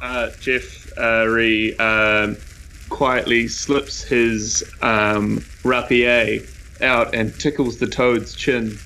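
A man with a New Zealand accent plays a tabletop game, narrating his moves at a slow pace. His voice is bright and forceful, with faint noise behind it. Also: mid-range voice, flat delivery, low-quality recording. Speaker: player. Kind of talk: casual narration of his character's actions.

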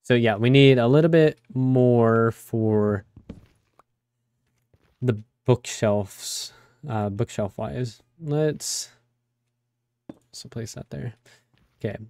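A young man with a raspy voice from a cold, rambling casually with many fillers as he plays a game. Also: medium pitch, extremely slow, long pauses, a little mellow, no room sound. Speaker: let's play host. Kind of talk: casual gameplay commentary.

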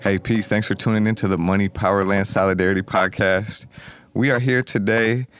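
A man's voice: on the low side, steady tone, brisk, a bit bright, faint music behind. A man hosting a podcast in a recording studio, friendly and eager, with many hesitant fillers. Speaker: podcast host. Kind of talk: casual interview hosting.

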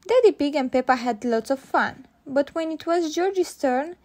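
A woman reading picture books aloud in an expressive storyteller's style for young children. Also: high-pitched, normal speed.

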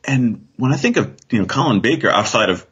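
A man's voice: medium pitch, animated, a little fast, a bit bright, slightly rough. A man chatting casually as a podcast guest, rambling and thinking aloud as he goes. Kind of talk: casual chat. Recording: dull, muffled lo-fi sound.

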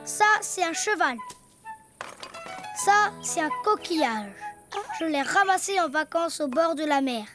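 A very high, childlike little boy donkey for a preschool cartoon. He is cheerful and full of wonder, speaking at an unhurried pace. Music plays prominently behind him. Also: lively intonation. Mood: proud, excited.

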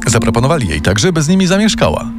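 A man's voice: medium pitch, huge pitch swings, very fast. A man reading a scripted true-crime story as a podcast narrator, recorded as a studio voice-over. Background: music.

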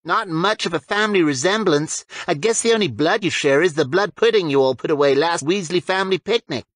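Man acting a cocky, teasing teenage boy, pitched up. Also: fairly quick, a bit bright, no room sound.

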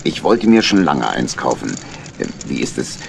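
An actor playing a shrewd, stern old gentleman badger in a children's audio drama, deliberate, probing and authoritative. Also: extremely low pitch, very animated, gravelly, slight background noise, heavily acted. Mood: casual, crafty. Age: older. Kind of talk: 1970s-80s German children's audio drama acting.

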